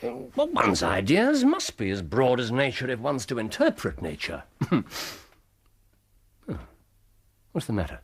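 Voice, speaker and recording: An actor playing a brilliant, self-assured Victorian detective in a British radio drama, with an English accent and a theatrical, erudite, precise delivery. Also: male voice, mid-range voice, wildly swooping pitch, a little slow, pauses often, husky, full character voice. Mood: exultant, musing.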